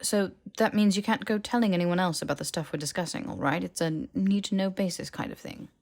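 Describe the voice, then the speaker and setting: Casting: female reader as a cagey young male wizard, stumbling over phrases, a gravelly edge, fantasy audiobook dialogue. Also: lowish voice, fairly quick, darkish tone. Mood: cagey, casual.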